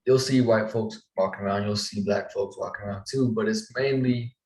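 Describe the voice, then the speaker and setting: Chill young guy from southern colorado, lowish voice, swears casually, echoey room. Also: steady tone, moderate pace, darkish tone. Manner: laid-back, curses casually, short agreeing replies. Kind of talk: casual chat.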